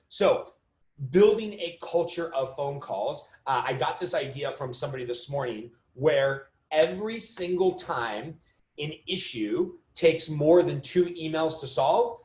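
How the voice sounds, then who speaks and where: Higher-voiced male coach in an echoey room. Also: darkish tone. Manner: conversational, emphatic, repeats key phrases for stress. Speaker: business coach hosting a mortgage coaching call. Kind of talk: informal motivational coaching talk to an online audience. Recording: roomy, echoing sound.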